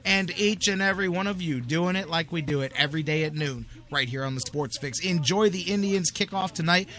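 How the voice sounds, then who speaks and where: A man with a higher-pitched, bright and punchy American voice hosts a sports talk show. He speaks casually and slangily, hammering phrases by repeating them for emphasis. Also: a little fast. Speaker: sports talk radio host.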